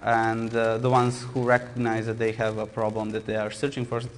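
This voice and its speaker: A man with a Serbian accent describing his software company on a panel, speaking haltingly with many restarts and cut-off words. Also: fairly low pitch, monotone, long reverb tail, a little hiss or hum. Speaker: software startup founder on a panel. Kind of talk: panel introduction.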